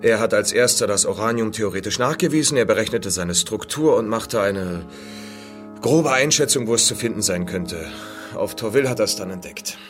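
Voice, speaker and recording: An actor as low-voiced, world-weary expedition veteran. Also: male voice, expressive, moderate pace, over music, lightly acted. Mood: reflective. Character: world-weary, light-shunning male expedition veteran, sardonic. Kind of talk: radio drama dialogue and first-person noir narration.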